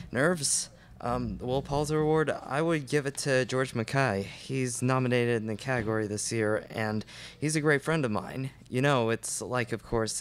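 A man's voice: medium pitch, slight background noise, close to an everyday voice. A young man acting a nervous, modest film star being interviewed in live radio theatre. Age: young adult. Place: college classroom stage, indoors. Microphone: stand microphone.

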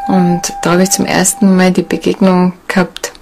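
A woman speaking informally in an interview, reflective, with halting phrasing. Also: on the low side, completely flat delivery, background noise. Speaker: shamanic seminar leader, interviewee.

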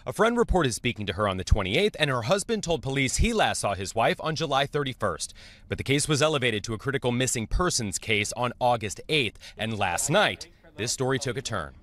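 A man reporting a local TV news story in a measured, scripted broadcast style. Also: medium pitch, sing-song, a bit bright.

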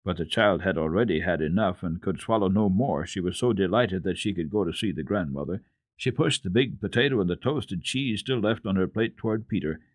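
A man reads a children's story with dramatic expression. His voice is low and moves through wide swings of pitch, deliberate in delivery, with a dry, close sound. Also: no echo. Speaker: storyteller, children's radio host. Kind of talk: dramatic children's book narration.

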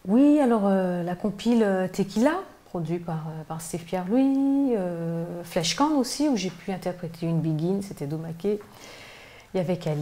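Woman, middle-aged, low pitch, mellow tone, hesitant interview guest, handheld mic indoors. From Martinique, grew up in Paris region. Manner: hesitant, many fillers and restarts, laughs often. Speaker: gospel singer, guest. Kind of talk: casual interview chat. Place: living room by a piano, indoors. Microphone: handheld mic held by interviewer.

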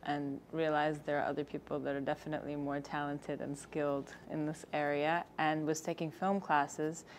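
A woman in her thirties, a filmmaker guest, answering interview questions in a thoughtful, slightly halting way. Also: low voice, little pitch movement, a little hiss or hum. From Egypt. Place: studio set with screens behind.

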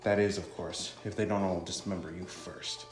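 A man's voice: deep voice, steady tone, moderate pace, lightly acted. A man voicing a defiant, threatening soldier of a secret syndicate in a visual novel. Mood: menacing, defiant. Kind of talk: visual novel dialogue read aloud. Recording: roomy sound with music.

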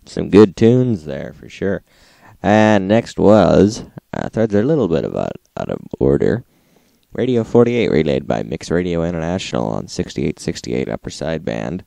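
A man with an extremely low voice, full of creak, narrates an informal recap. His tone is dark and mellow, and he reads entries out like a plain list, recorded close and dry. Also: very animated, normal speed, no echo. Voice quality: gravelly. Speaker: host reading radio logs.

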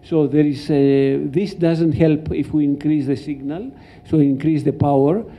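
Older male academic lecturer, Greek accent, gravelly, explanatory delivery, muffled hall recording. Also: on the high side, fairly even intonation, unhurried. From Greece. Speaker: professor lecturing.

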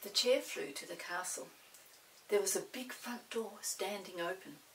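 A woman reading a children's story in an expressive, measured way. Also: mid-range voice, vocal fry, recorded from a distance, slight background noise, rough recording. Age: 60s. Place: small indoor set with dark backdrop.

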